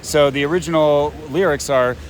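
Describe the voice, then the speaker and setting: A man's voice: on the high side, fairly even intonation, normal speed. A man chatting casually as an interview guest, recorded outdoors in a garden. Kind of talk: casual chat. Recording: faint outdoor background noise.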